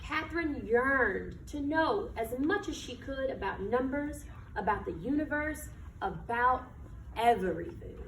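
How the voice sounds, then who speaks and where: An American woman reading a picture book aloud to children like a teacher, with expressive, emphatic delivery and questions to her listeners. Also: high-pitched, a little slow. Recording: echoey room, distant mic, background noise.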